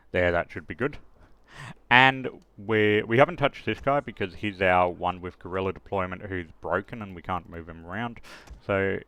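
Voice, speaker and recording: A man's voice: low-pitched, a bit bright, clean tone. A man presenting a casual screen-recorded tutorial, thinking aloud step by step with some hesitation. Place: close dry voice-over of a screen recording.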